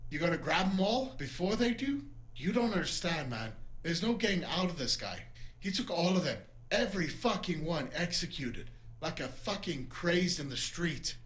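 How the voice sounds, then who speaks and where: Man acting a high-voiced, cornered crooked cop. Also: lively intonation, moderate pace, a bit bright, rough recording. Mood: desperate pleading. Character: cornered corrupt cop, doomed, defiant and desperate. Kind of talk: noir audio drama acting. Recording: faint music behind the voice.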